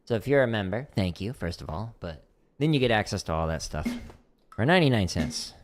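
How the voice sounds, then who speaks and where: A man's voice: fairly low pitch, big pitch swings, a little fast, slight background noise. A man casually chatting with his livestream viewers between drum practice runs, loose and full of "like" fillers, counting tempos aloud. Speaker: drum teacher streaming practice. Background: drumming on a practice pad.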